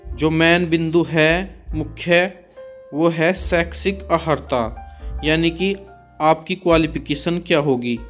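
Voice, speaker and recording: A man giving a methodical informational explanation, restating points so they are clear. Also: on the high side. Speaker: exam and recruitment explainer, host.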